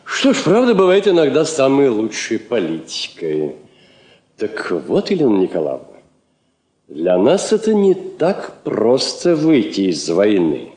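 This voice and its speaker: Animated, wry old minister. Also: male voice, medium pitch, a little slow. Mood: candid, wry. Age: older. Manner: wry, aphoristic, expressive. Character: elderly foreign minister, wry, world-weary, cautious diplomat. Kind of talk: Soviet 1960s radio drama acting. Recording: roomy vintage drama recording.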